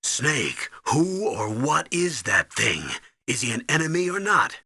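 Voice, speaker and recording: A middle-aged colonel in a video game, stern and urgent. His voice is husky and sharply forceful, giving orders with earnest emphasis, recorded very dry. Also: fairly high pitch, very animated, measured pace, no echo, heavily acted. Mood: concerned. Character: stern, urgent middle-aged male military commander, anxious mentor.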